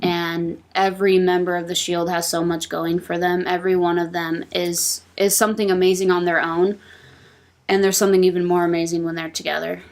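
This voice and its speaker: A woman's voice: fairly low pitch, little pitch movement, brisk. A woman speaking as a podcast panelist in casual discussion.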